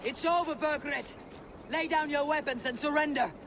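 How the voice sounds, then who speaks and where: A woman's voice: high voice, moderate pace, lightly acted. Tough Norse warrior woman, acted, battle noise behind. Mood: stern. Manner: terse, commanding. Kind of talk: video game cinematic acting.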